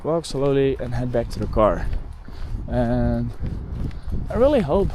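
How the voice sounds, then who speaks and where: A Dutch-accented man talks in a loose, wandering way as he strolls through open countryside. He restarts sentences, corrects himself and fills gaps with "like" and "um." His pitch sits at an ordinary middle level, and faint outdoor sounds with the odd passing car drift in behind him. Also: big pitch swings, measured pace, noisy background. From Netherlands. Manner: rambling, self-correcting, lots of "like" and "um". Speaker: bird photographer vlogger. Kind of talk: casual rambling walk-and-talk. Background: faint outdoor ambience with passing cars.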